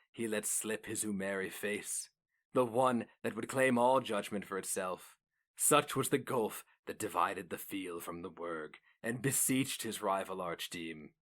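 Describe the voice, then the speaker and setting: A male narrator delivers a dark-toned fantasy tale as a dramatic reading. His voice sits on the low side and he takes his time, very slowly and deliberately. The delivery is solemn and theatrical throughout.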